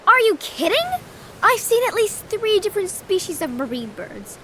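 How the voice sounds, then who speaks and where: A woman's voice: very high-pitched, very animated, normal speed, fairly bright tone, slight background noise, exaggerated voice, poor audio. A woman voicing a bossy, brainy schoolgirl who loves science, pedantic and insistent, in a children's audio drama. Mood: know-it-all.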